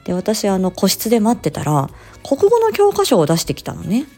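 A woman speech therapist telling stories in a casual, expressive solo chat, acting out short quotes as she explains. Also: mid-range voice, a little fast, husky, soft background music. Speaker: speech-language therapist hosting an audio diary.

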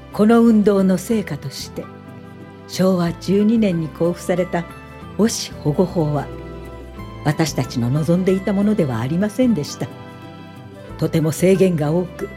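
A woman's voice: fairly low pitch, mellow, lightly acted. A woman acting in a Japanese radio drama as a composed, earnest and determined welfare pioneer, speaking articulately.

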